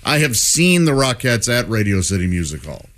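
A man's voice: fairly low pitch, sing-song, moderate pace, a bit bright. A man hosting a casual radio chat show, reading listener mail with a chuckle. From Saint Louis.

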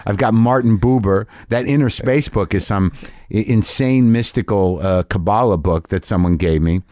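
A man's voice: lowish voice, normal speed, mellow, no room sound, clean studio sound. A man hosting a casual interview, asking curious questions with frequent stumbles and restarts. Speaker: podcast host.

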